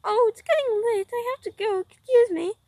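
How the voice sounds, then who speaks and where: A woman voicing a timid, eager young girl in a storybook reading, with a high, squealing voice. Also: normal speed, darkish tone, dry recording.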